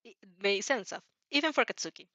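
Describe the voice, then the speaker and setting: Bright-voiced woman reading fan fiction aloud. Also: fairly high pitch, lively intonation, brisk, dry recording, lo-fi sound. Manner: giggly, often stumbles and rereads phrases. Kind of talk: informal story narration with reactions.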